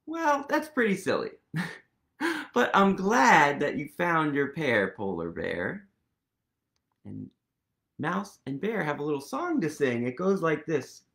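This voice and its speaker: A man's voice: a little high, sing-song, takes it slowly, creaky, long pauses, a bit bright. A man in his thirties reading to children with playful, exaggerated wonder and questions to the listeners, recorded in a small room. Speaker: storytime reader. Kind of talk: animated children's picture-book read-aloud. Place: small room at home, indoors.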